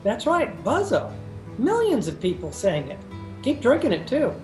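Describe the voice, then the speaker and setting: Wisecracking radio-drama rogue , played by a woman, lively intonation, wry storyteller, roomy sound. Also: on the high side, moderate pace, soft background music. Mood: breezy. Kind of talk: old-time radio drama acting and first-person narration. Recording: roomy video-call sound.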